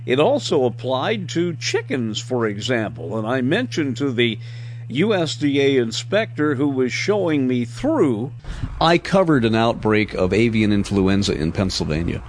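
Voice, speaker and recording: Male radio host, middle-aged, lively pitch swings, journalist's storytelling delivery, light background noise. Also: a little slow. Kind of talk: radio feature narration and interview.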